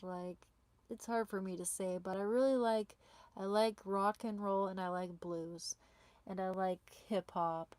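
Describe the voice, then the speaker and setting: A woman's voice: mid-range voice, no room sound. Woman streaming with a sore, failing voice. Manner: chatty, halting, repeats words as she restarts. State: sore throat, voice starting to fail. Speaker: livestreamer. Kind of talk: casual live stream chat, answering viewer comments. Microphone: small clip-on wireless mic.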